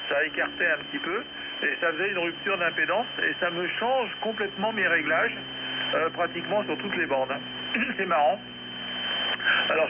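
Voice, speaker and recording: Man, amateur radio chat, unhurried rambling delivery, narrow tinny shortwave sound, very loud noise. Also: fairly high pitch. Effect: shortwave radio transmission. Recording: very noisy, narrow-band shortwave radio reception. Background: loud radio static and noise.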